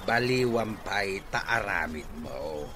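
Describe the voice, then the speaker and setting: A slow, forceful scolding Ilocano father. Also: low-pitched, animated, a little hiss or hum, full character voice. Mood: stern, pleading. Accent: Ilocano Filipino. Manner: preachy, emphatic. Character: stern older father admonishing his wayward son. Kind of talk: Ilocano radio drama acting.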